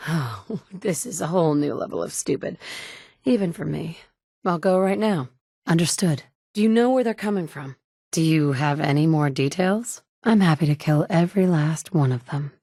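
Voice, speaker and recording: A woman's voice: low voice, animated, moderate pace, mellow, no echo, studio quality. An actress voicing a resolute adult woman survivor in a video game, firm and direct with a sarcastic edge. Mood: determined. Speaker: player character. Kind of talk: game voice acting, dialogue lines.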